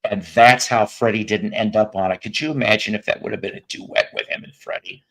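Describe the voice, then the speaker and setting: A man with a raspy, hoarse voice hosting a music podcast, joking and rambling through tangents in casual chat. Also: on the low side, steady tone, a little fast, a bit bright, rough recording. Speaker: podcast host.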